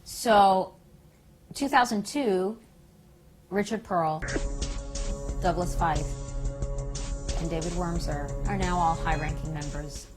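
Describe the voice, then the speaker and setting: Female, American, low register. Activist interviewee, deliberate and emphatic political commentary, music under the voice. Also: low-quality recording. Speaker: peace activist being interviewed.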